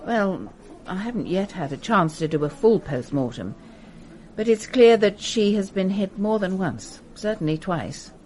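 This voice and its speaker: An actress playing a brisk, matter-of-fact police doctor in a radio drama. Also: female voice, mid-range voice, animated, a little mellow, slight background noise, lightly acted. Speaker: police surgeon.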